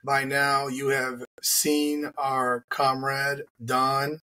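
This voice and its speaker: A hoarse man, getting over the flu, hosting a casual sports podcast with wry, teasing remarks over a video call from home. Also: medium pitch, completely flat delivery, very slow, pushed and bright, poor audio. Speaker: podcast host. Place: home room with shelves. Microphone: video call, headset.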